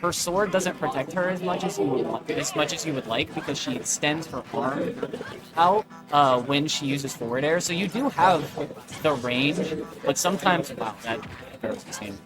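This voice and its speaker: A man doing casual live play-by-play as an esports commentator, slangy and excitable. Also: a little high, normal speed, low-quality recording. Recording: game music behind the voice.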